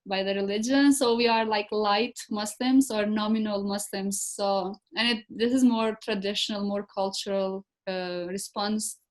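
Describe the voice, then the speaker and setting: A woman from Kosovo with an Albanian accent, a native Albanian speaker, talking as an interview guest and hesitantly searching for words. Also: mid-range voice. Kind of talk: conversational interview.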